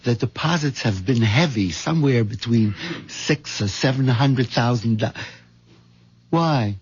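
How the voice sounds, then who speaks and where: An actor playing a meek, henpecked middle-aged bank clerk with an American accent, hesitant and stammering, in 1970s radio drama. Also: male voice, mid-range voice, animated, measured pace, a little mellow, husky. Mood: startled, incredulous. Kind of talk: 1970s radio drama acting, with first-person narration. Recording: dull, muffled 1970s radio recording.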